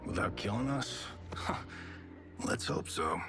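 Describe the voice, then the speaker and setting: An actor playing a gruff, confident male adventurer in a video game, with a hushed, wry delivery. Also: low voice, expressive, takes it slowly. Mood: wry, cautious. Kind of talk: video game cutscene acting. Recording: loud music behind voice.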